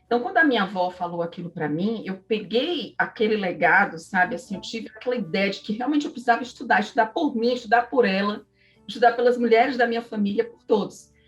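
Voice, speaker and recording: A woman's voice: medium pitch, lively intonation, fast, muffled recording. Northeastern Brazilian woman, distant mic over music. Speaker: interview guest, psychology professor. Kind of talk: conversational interview, personal storytelling.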